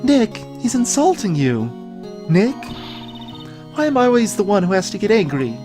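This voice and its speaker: A man voicing a perky, excitable young female sidekick in a video game, quick to take offence. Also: sits high, soft background music, voice pushed up. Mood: indignant.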